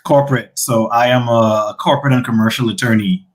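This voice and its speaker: This is a man in his thirties with a medium-pitched voice. He answers as an interview guest, conversational yet articulate. His manner is polite and explanatory. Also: fairly even intonation, brisk. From the Bahamas. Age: 30s. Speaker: guest, senator and attorney.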